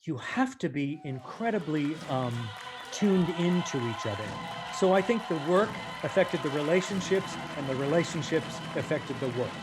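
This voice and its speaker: Higher-pitched man, emphatic interview guest. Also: animated, moderate pace, music in the background, poor audio. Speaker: stage actor, guest. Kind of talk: reflective interview chat.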